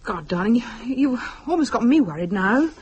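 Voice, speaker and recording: An actress playing an uneasy, doubtful wife in a radio drama, hesitant and protesting. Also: female voice, fairly high pitch, sing-song, moderate pace, darkish tone, dull audio, a little hiss or hum.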